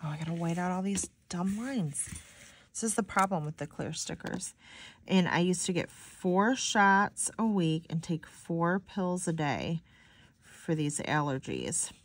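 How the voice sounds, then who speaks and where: Chatty, slightly stuffy woman, a bit low. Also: expressive, a little slow. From Michigan. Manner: rambling, chatty, many "like"s, talks to viewers. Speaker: planner vlogger. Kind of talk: casual chatty voice-over while decorating a planner.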